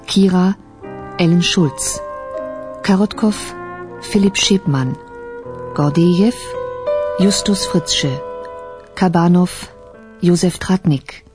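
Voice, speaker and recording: A woman formally and deliberately reading out scripted credits for a radio drama. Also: on the low side. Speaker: announcer of title and cast. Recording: music with brass behind.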